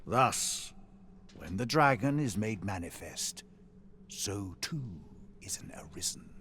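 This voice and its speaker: An actor playing a weary, solemn former hero in a fantasy video game, with grave, archaic, measured delivery. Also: male voice, lowish voice, sing-song, fairly bright tone, a little hiss or hum, exaggerated voice. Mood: grave, resigned. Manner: grave, archaic, measured storytelling with sighing line endings. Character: weary, solemn former hero, husk of a fallen chosen one.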